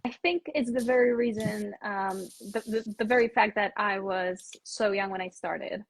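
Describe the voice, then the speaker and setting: Slightly husky young Polish woman, American-sounding English. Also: medium pitch, fairly even intonation, normal speed, poor audio. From Poland. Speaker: English teacher as interview guest. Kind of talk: casual interview chat. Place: indoors on an online video call.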